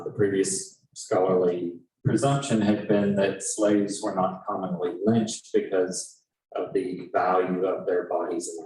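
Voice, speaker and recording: A man explains history to an audience as a panelist. He speaks in a halting, explanatory way, picked up from a distance in an echoey room with faint noise. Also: low-pitched, a little mellow. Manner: explanatory, halting with many pauses. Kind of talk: informal history lecture to an audience.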